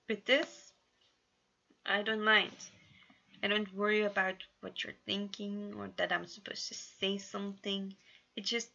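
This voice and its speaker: A moody emo young man from a storybook, guarded but affectionate, played by a woman at a middle pitch. He grumbles and sighs in a bright tone. The recording is slightly muffled. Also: gravelly, heavily acted. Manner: grumbling, sighing.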